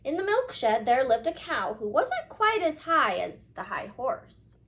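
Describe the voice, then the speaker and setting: Primary teacher, female, slightly high and very animated, emphatic storybook read-aloud delivery aimed straight at kids. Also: a little slow. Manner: expressive, emphatic, addressing children directly. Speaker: teacher reading aloud to children.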